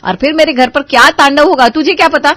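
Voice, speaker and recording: A woman's voice: high-pitched, fast, fairly bright tone, no echo, voice pushed up. Woman playing an eager-to-please young daughter-in-law. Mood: firm, haggling.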